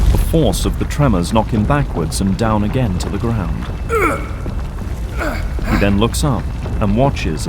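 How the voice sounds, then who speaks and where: A man giving scripted narration as the storyteller of an audio drama. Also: lowish voice.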